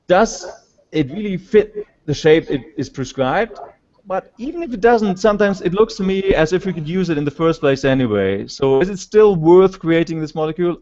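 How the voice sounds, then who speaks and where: A man with a German accent giving a remote conference talk, halting with frequent fillers and restarts. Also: on the high side, darkish tone. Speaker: conference speaker. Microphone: video call. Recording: dull lo-fi call audio.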